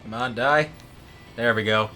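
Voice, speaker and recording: A man's voice: medium pitch, measured pace, bright, forceful tone, soft background music. A man co-hosting a let's play, chatting casually as he comments on a video game. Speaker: co-host of a gaming let's play. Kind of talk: casual gameplay commentary chat.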